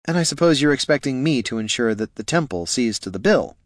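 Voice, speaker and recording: A man's voice: medium pitch, lively intonation, a little fast, dry recording, studio quality, pitched above the performer's own voice, lightly acted. A man voicing a stiff, formal young male recruit in a storybook reading.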